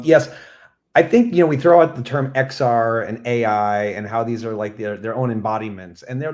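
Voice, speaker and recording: Man panelist with a low voice, measured and explanatory. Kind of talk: panel discussion.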